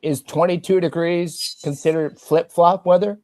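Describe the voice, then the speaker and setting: A man's voice: a little high, steady tone, moderate pace, darkish tone. A man in a casual chat who asks the others playful questions.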